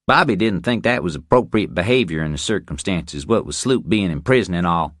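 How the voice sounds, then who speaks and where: A man voicing a cocky, excitable young Texas ranch hand in an audiobook, taunting in folksy slang. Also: low-pitched, a little fast, no echo, studio quality, voice pushed up. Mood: smug.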